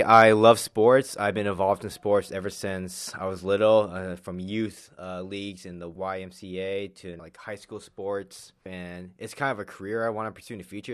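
Young male college student from Arizona, unpolished and halting, lowish smooth voice, dry close sound. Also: no echo. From Arizona, USA. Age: young adult. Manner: hesitant, many fillers and restarts. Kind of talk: personal interview talk.

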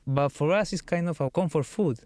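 A Peruvian man, a chef, answering interview questions in a relaxed way, thinking aloud with many fillers. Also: a little high, brisk, no echo. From Peru. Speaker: chef and cookbook author.

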